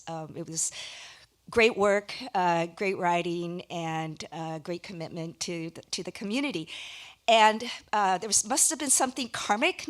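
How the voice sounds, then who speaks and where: A woman giving a public speech as a contest judge at a live awards ceremony, talking conversationally with hesitant pauses. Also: medium pitch. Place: live event before an audience.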